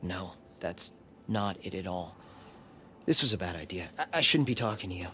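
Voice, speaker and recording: A man's voice: low voice, animated, moderate pace, sharp tone. A man playing an anxious, regretful follower of a cult in a video game, hesitant and subdued. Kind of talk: naturalistic video game cutscene acting.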